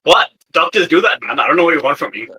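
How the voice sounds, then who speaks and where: A man with a raspy, very bright and forceful voice at medium pitch. He plays a tabletop game, talking casually and bantering as he improvises. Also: lively intonation, fast talker. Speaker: tabletop roleplay player.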